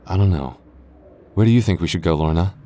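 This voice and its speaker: A man playing a gentle, sentimental goth boyfriend in an ASMR roleplay, musing hesitantly to his companion. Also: low-pitched, a little fast, close to an everyday voice. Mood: puzzled, searching. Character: gentle, sentimental goth boyfriend lost in endless haunted street.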